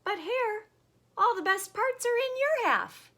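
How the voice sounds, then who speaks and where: Woman voicing a grumpy, slow-witted storybook bear. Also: very high-pitched, expressive, sharp tone, pitched above the performer's own voice, heavily acted. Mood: indignant, grumbling. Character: lazy, sleepy, grumbling male bear, easily duped. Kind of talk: children's storybook character voice.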